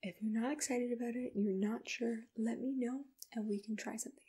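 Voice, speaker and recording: A woman softly whispering in a gentle, reassuring, patient way, asking questions as a matchmaker in an ASMR roleplay, close to the microphone. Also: fairly high pitch, steady tone, brisk. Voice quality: soft breathy whisper. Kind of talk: ASMR personal-attention roleplay, unscripted interview questions.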